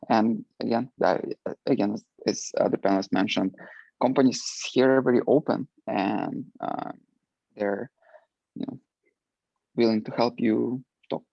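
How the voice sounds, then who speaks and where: Slow, gravelly man. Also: on the low side, dry recording, highs cut off. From Ukraine. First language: Russian. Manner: hesitant, many filler words. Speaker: alumni panelist. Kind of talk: panel discussion answer.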